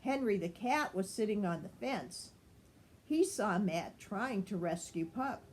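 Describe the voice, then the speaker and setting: An older woman reading a children's story aloud in an expressive, grandmotherly style. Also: medium pitch, measured pace, slight background noise.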